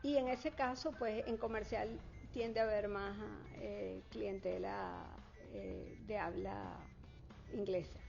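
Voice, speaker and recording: Gravelly-voiced woman explaining property deals, lots of "eh"s, dull lo-fi sound. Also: mid-range voice, steady tone, slow pace, a little mellow, faint music behind. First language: Spanish. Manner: fluent, many fillers and restarts. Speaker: real estate consultant. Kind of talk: interview answers, explanatory. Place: Florida.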